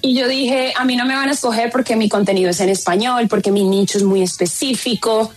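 A Colombian woman, a content creator guest in an interview, telling her story in an animated and emphatic way. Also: on the high side, brisk, faint music behind.

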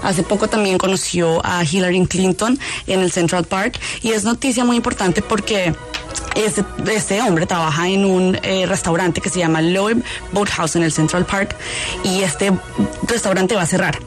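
A woman's voice: medium pitch, moderate pace, music in the background. A woman reporting a news story as a correspondent, with some hesitation and fillers.

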